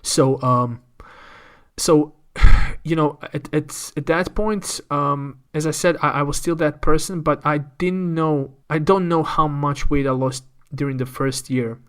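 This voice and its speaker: A man's voice: a little high, steady tone, a little slow, clear, resonant tone. A man, speaking as a podcast guest and candidly telling a personal story, often repeating words as he thinks. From Croatia. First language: Croatian. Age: 30s.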